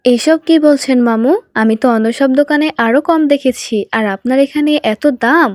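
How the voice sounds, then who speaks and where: A woman voicing a weary, poor mother in a children's cartoon, explaining and gently scolding in an exasperated but affectionate way. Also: high-pitched, moderate pace, dry recording, studio quality, natural voice. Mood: earnest protesting.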